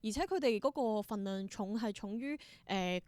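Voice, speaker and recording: A woman's voice: medium pitch, moderate pace, a little mellow, clean tone, dry recording. A woman with a Hong Kong Cantonese accent answering as a theatre performer in an interview, explaining things conversationally and dropping in English terms.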